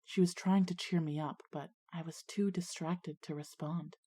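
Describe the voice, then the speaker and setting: A woman's voice: sits low, fairly even intonation, normal speed, warm tone, husky. A woman playing an earnest, uneasy schoolgirl who narrates her own ghost story in an audio drama. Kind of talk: scripted first-person ghost story narration and dialogue.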